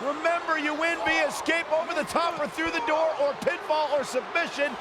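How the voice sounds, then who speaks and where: A man playing an excitable, dramatic wrestling play-by-play announcer in a video game, shouting emphatically. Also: very high voice, brisk, very noisy. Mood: excited. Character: excitable male wrestling announcer reacting with shock. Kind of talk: excited scripted sports commentary in a video game. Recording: loud crowd and music behind. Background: roaring arena crowd and music.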